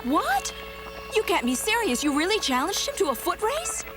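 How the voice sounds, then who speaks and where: A woman voicing a sensible, caring hedgehog wife and mother in a cartoon, chiding in a matter-of-fact, expressive way. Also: extremely high pitch, moderate pace, heavily acted. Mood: scolding. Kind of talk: animated cartoon voice acting. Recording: music behind the voice.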